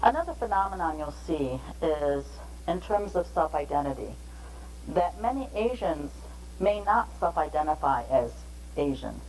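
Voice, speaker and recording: A woman giving a measured, explanatory training lecture on interpreting, pausing between phrases. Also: low voice, animated, darkish tone, low-quality recording.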